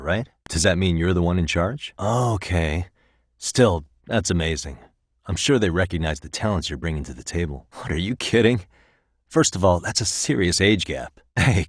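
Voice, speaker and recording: A man's voice: deep voice, dry recording. An actor in his thirties with an American accent playing an easygoing, wry private detective in casual video game dialogue. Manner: casual, dry wry humour.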